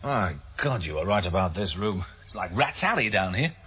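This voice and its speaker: An actor playing a sceptical, world-weary senior police detective in a British radio drama, musing with wry authority. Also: male voice, low-pitched, very animated, moderate pace, sharp tone, breathy edge, full character voice. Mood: wry, curious. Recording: dull, lo-fi 1978 radio broadcast.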